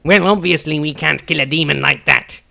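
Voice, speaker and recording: A man voicing a flamboyant, foolish male wizard, cocky, excitable and blustering, in a fantasy story. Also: normal speed, creaky, dry recording, exaggerated voice. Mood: agitated. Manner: exclamatory, high-flown, blustering.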